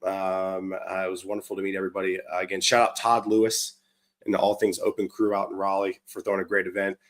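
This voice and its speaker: A man hosting a live show, hoarse from a cold, upbeat and chatty with lots of fillers and restarts. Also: low voice, level tone, fast. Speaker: livestream show host. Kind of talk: casual live-stream chat.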